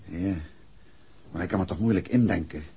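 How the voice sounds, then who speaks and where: An actor playing a composed, courteous gentleman detective with a husky voice in a 1960s radio play. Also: low voice, normal speed, slight background noise. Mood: thoughtful. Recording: dull old radio recording.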